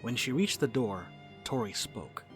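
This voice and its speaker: A man's voice: mid-range voice, lively intonation, unhurried, fairly bright tone, faint music behind. A man giving scripted third-person narration for a dramatic reading.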